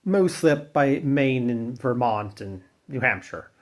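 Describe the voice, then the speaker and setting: A man tells an old folk tale in a relaxed, conversational way, as though recording a spoken log. His pitch swings up and down a lot as he talks. The tone is wry and offhand, with the odd swear word and casual fillers. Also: measured pace. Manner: loose delivery with fillers and restarts. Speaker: narrator and channel host. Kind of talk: casual spoken folklore narration.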